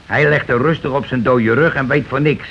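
An actor playing a bossy, calculating working-class husband in a Dutch radio play, blunt and argumentative in colloquial speech. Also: male voice, medium pitch, moderate pace, breathy edge. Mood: grumbling. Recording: dull, noisy old radio recording.

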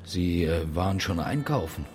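Low-voiced German police inspector. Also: male voice, expressive, moderate pace, darkish tone, soft background music. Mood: formal, questioning. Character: low-key, persistent male police detective boss. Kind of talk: naturalistic German radio crime drama acting.

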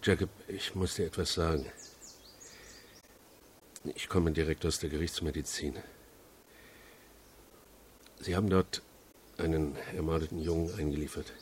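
An actor playing a sorrowful family confidant who speaks gravely and haltingly as a bearer of bad news in a radio crime drama. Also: male voice, a bass voice, steady tone, darkish tone, slight background noise.

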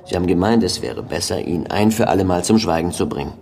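A man's voice: sits low, fast, vocal fry, a little mellow, a little hiss or hum. A man playing a detective's matter-of-fact police sidekick in a radio drama, speaking plainly.

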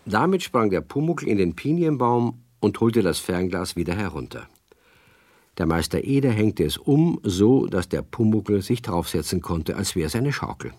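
Guy narrating a kids radio play, lowish voice, measured storytelling, no room sound at all. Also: expressive, a little mellow, no room sound, clean studio sound.

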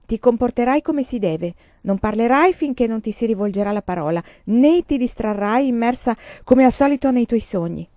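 A woman reads a strict storybook mother who scolds and lectures in a raised, clipped, impatient voice. The tone underneath is mellow and warm. It is recorded dry and a little dull. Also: a little high, animated, moderate pace, few pauses, dry recording, voice pushed up, lightly acted. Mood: scolding. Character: stern scolding middle-aged mother. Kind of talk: audiobook character reading.

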